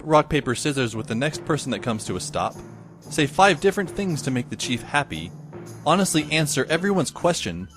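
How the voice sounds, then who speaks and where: A man's voice: medium pitch, natural voice. A man playing a wry, put-upon teenage boy, narrating in the first person for an audio drama. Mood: sardonic, weary.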